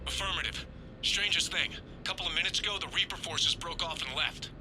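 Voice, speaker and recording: A high-pitched actor as a male military shuttle pilot in a sci-fi game. He reports in a businesslike way, with clear music behind. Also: brisk, lightly acted, rough recording. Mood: puzzled, reporting. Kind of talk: video game dialogue.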